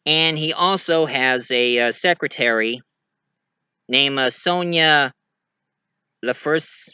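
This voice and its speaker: A man giving a casual show-and-tell of his own fiction, rambling and halting mid-sentence to correct himself. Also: mid-range voice, pushed and bright, smooth, no room sound. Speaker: amateur writer and online video creator.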